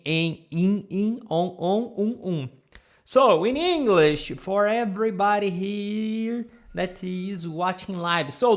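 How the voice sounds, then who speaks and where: A Brazilian woman with a Brazilian accent teaching Portuguese in a live online lesson, patiently repeating sounds for students to copy. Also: medium pitch. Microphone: camera mic.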